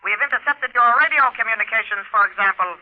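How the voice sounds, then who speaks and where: A man playing a calm, polite but menacing small alien leader in a 1950s radio drama, speaking precisely and formally. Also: high voice, quick pace, close to an everyday voice. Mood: cool, formal. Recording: vintage radio recording, narrow telephone-like band.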